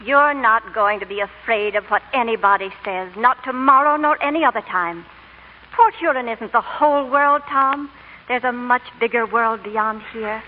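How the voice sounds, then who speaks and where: A woman's voice: fairly high pitch, normal speed, narrow, tinny audio, close to an everyday voice. A woman playing a devoted, fiercely protective small-town mother in an old radio drama, earnest and emphatic. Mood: tender, reassuring. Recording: thin, noisy old radio recording.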